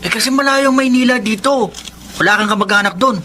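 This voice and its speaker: An actor playing a caring, worried young provincial sweetheart in a radio drama. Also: male voice, extremely high pitch, fast, sharp tone, slight background noise. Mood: worried, tender. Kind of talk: Tagalog radio drama acting.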